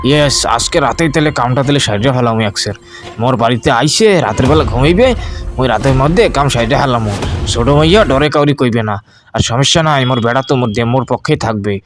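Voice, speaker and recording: A man's voice: medium pitch, fast, music in the background, natural voice. A man voicing a sly, lecherous old village father-in-law in a Bangladeshi regional dialect, in an amateur cartoon. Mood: sly, scheming. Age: older.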